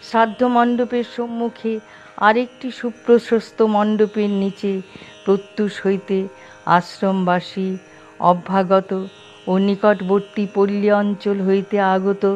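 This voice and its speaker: A woman reading formal, measured scripted narration for a documentary. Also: medium pitch, little pitch movement, darkish tone. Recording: dull, lo-fi, music behind.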